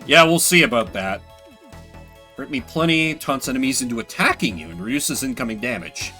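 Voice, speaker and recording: A male streamer with a bright, lively voice that moves around a lot in pitch. He gives casual, slangy game commentary and reads on-screen text aloud expressively. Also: fairly quick, soft background music.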